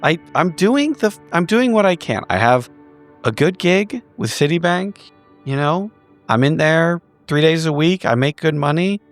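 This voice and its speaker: A man playing a small, frumpy, anxious woman in improvised roleplay, halting and self-justifying as the character explains. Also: on the high side, lively intonation, soft background music, voice pushed up, close to an everyday voice. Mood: defensive.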